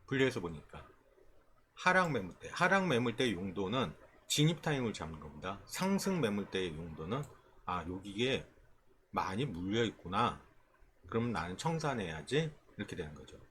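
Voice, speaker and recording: A man with a fairly low voice explains things informally, like an instructor walking through a screen. His intonation swings widely, and he often checks in with short questions. There is clear background noise in the recording. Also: big pitch swings, normal speed, fairly bright tone. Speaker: stock trading instructor. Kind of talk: informal screen-share tutorial explaining stock charts.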